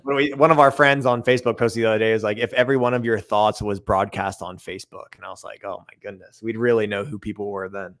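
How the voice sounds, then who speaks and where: A man co-hosting a podcast with a raspy voice, chatty and loose, repeating himself as he riffs. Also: lowish voice, fairly even intonation, quick pace, few pauses, fairly bright tone. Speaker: podcast co-host. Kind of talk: casual podcast conversation.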